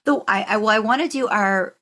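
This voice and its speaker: A woman's voice: medium pitch, brisk, a bit bright. A woman co-hosting a podcast in casual, conversational chat. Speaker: podcast co-host.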